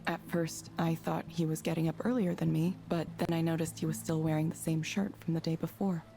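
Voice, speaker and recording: An actress playing a concerned woman colleague in a visual novel, restrained, serious and direct as she quietly confronts someone. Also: low voice, level tone, fairly quick, gravelly, background noise. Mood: somber.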